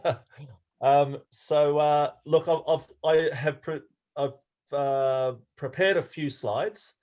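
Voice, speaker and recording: A man's voice: fairly high pitch, fairly even intonation, a little mellow. An older man with an Australian accent giving a casual sailing talk over a video call, full of ums and restarts. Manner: halting, many fillers and restarts.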